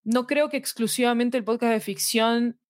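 A woman's voice: a little high, steady tone, normal speed, dry recording, studio quality. A woman with a Latin American Spanish accent, talking reflectively and at length as a guest in a casual interview. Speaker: interview guest, audio fiction expert.

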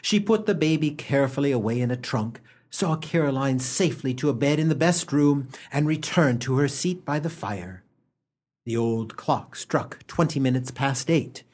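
An actor as an arch, teasing narrator of a mock melodrama on radio, husky and dramatic as he addresses the listener. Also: mid-range voice, moderate pace, a little mellow, natural voice. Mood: storytelling.

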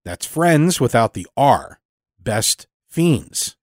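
A man reading scripted announcements for a show, with deliberate, measured phrasing. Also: mid-range voice, sing-song. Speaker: show announcer and sponsor reader. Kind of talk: scripted narration.

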